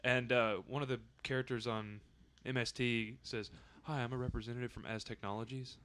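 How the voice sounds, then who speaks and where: A man's voice: mid-range voice, level tone, a little fast, fairly bright tone. A man speaking as a podcast guest, telling things in a loose, conversational way. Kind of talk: casual storytelling chat.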